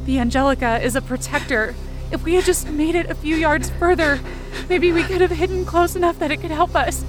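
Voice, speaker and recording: A high, girlish voice acted by a woman tells a horror audio drama in the first person, frightened and breathy. The delivery is tense and emotional, with music clearly playing behind it. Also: natural voice. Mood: frightened dread.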